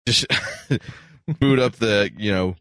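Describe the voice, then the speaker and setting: A man's voice: fairly low pitch, slow, fairly bright tone, no room sound, studio quality. A man co-hosting a talk show with casual chat and wisecracks.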